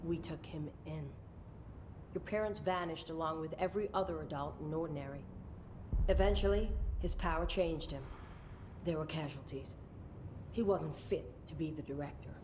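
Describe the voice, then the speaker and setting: A stern senior government agent in a video game, played by an actress. She speaks in a fairly low voice, formal and clipped, keeping things close to the chest. A clear rumble runs behind her. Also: normal speed, lightly acted. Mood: grave, measured. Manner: formal, clipped, matter-of-fact. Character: stern, secretive female senior agency official. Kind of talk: video game cutscene dialogue.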